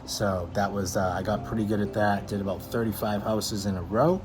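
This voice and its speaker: A man's voice: lowish voice, normal speed. A man, introducing himself in a rambling way with many fillers. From Massachusetts. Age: 40s. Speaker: real estate agent. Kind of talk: casual self-introduction. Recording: music playing clearly under the voice.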